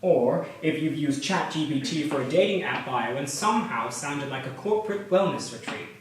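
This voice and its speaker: A man's voice: a little high, lively intonation, moderate pace, lightly acted. An actor playing a smug, self-styled visionary tech founder who addresses his audience pompously in a staged reading. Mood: smug, breezy. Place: live performance in a piano shop. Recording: roomy echo, distant mic, faint noise.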